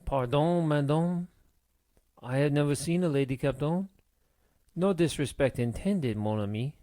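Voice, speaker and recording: A man's voice: a little high, unhurried, mellow, dry recording, natural voice. A man voicing a grateful, deferential French sailor in an audiobook, with a French accent and polite, pleading manner. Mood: apologetic. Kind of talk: audiobook character voice.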